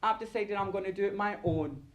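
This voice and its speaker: Man acting a high-voiced, campy, sardonic monologist. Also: a little fast, lightly acted. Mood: defiant, confiding. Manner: dramatic, sardonic, campy, given to mimicking dialogue. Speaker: actor performing a monologue. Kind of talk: theatrical solo stage monologue.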